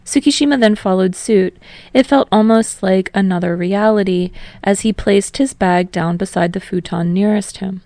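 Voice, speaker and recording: Low, creaky woman narrating fiction. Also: darkish tone, dry recording. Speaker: podfic narrator.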